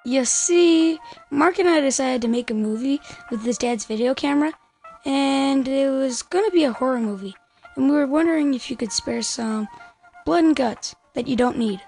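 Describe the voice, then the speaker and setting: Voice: sits high, normal speed, gravelly, darkish tone, faint music behind, poor audio. A young performer playing an eager, earnest ten-year-old boy in an amateur audio drama. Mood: eager, polite.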